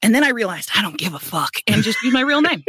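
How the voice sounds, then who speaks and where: A woman in her thirties with a low, boyish voice, chatting as a guest on a podcast about her work as an audiobook narrator, talking fast and laughing often. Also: very animated, fairly bright tone, slightly rough, no room sound, clean studio sound. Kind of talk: casual podcast chat.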